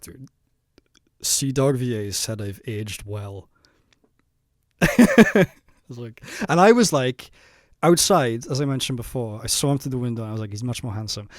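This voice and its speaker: South Welsh man, 30s, casual reaction commentary, nervous, self-mocking, quick to laugh and swear. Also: mid-range voice, no echo, studio quality. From South Wales. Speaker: vlogger reacting to his own footage.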